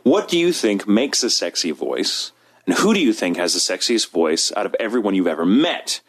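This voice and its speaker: A man's voice: big pitch swings, moderate pace. A man co-hosting a podcast who reads out listener questions and jokes about himself in a playful, boastful way. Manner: playful and boastful, swinging his pitch widely. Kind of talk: casual chat.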